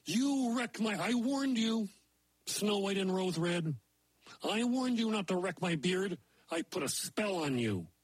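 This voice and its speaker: A nasty little bearded dwarf yells petulant insults in a raised, husky voice, as a man puts him on. His voice climbs high when he is outraged. The sound is dry and close. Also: expressive, measured pace, dry recording, exaggerated voice. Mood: angry.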